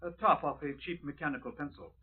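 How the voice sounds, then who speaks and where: Husky British detective, tinny vintage radio. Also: male voice, on the high side, fast talker. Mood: respectful, puzzled. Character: deferential, methodical male Scotland Yard detective. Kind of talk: 1950s radio drama acting and narration. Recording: tinny, narrow-band vintage radio recording.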